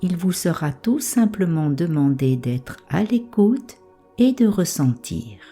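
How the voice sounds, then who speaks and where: Low, mellow, slow-speaking woman guiding meditation. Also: slightly rough, faint music behind. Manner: calm, soothing, measured instructions with pauses. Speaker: meditation guide. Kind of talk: slow guided yoga nidra relaxation.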